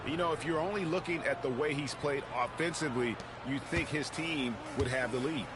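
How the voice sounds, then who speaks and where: A man's voice: a little high, fairly quick, very noisy, close to an everyday voice. A man performing as an opinionated color analyst in a basketball video game, explaining play conversationally. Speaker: color commentator. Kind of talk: sports analysis commentary. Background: music, bouncing basketball.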